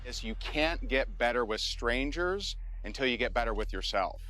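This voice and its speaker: A man's voice: medium pitch, animated, moderate pace, sharp tone, a little hiss or hum. A man hosting a competition show, telling a personal story to the camera in an earnest, motivational way. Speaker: show host and business coach. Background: music bed.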